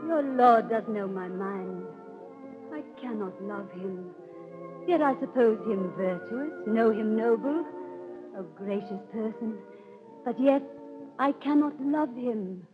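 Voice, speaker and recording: Aloof countess, slow and stately. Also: female voice, on the high side. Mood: aloof, regretful. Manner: measured, stately. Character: beautiful, aloof, melancholy countess. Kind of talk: 1930s radio Shakespeare acting. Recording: dull old radio, music behind.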